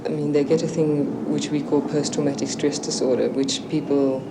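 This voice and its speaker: A woman explains as an interviewed specialist in a low-pitched, level voice. Clear ambient noise runs behind her. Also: little pitch movement, normal speed. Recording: noisy location interview.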